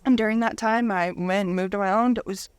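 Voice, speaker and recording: A woman's voice: mid-range voice, steady tone, fairly quick, clean tone, dry recording. A woman in her thirties telling her personal story candidly as a guest in a casual interview. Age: 30s.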